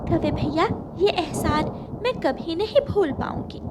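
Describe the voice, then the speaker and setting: A woman acting as a soft-hearted cartoon mother sparrow with a very high voice. She is humble and polite, with a plaintive edge. Loud storm noise runs behind her. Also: lively intonation, unhurried. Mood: polite, meek. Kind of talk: Hindi children's cartoon story acting. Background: thunder and rain.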